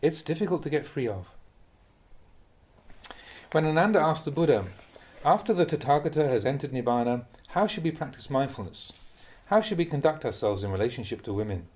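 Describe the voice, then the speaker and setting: Measured man reading a Buddhist talk. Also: fairly high pitch, very animated, darkish tone. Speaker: Buddhist monk reading translated teachings aloud. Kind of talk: audiobook-style reading of a dharma talk.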